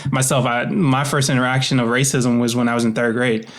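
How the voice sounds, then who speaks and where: Thoughtful American man, halting conversational delivery. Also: medium pitch, little pitch movement. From United States. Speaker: interview guest, author and voice actor. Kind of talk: reflective casual conversation.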